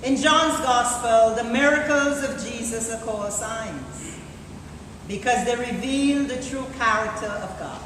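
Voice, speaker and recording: A woman reading scripture aloud as a deacon in a church service, deliberate and solemn. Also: a little high, lively intonation, a bit bright, distant mic. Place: large church interior, live service. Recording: echoey church from afar, noisy live recording.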